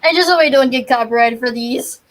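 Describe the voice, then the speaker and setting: A woman's voice: a little high, a little fast. A woman streamer chatting casually with friends over a game, hesitant and leaning on the word "like". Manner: hesitant, filler-heavy talk with frequent "like". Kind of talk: casual chat while playing and watching. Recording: background music behind the voice.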